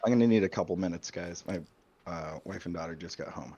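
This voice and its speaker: A man with a deep voice runs a tabletop game as game master. He talks casually, and the sound is slightly dull, like voice chat. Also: quick pace, dark tone, slight background noise.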